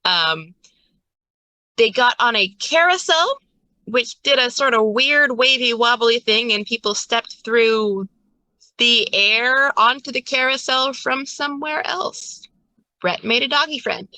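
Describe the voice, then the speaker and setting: A woman's voice: fairly high pitch, takes it slowly, bright, forceful tone, no echo, poor audio. A woman game master giving a casual, playful and wryly hinting recap of a tabletop game on a livestream.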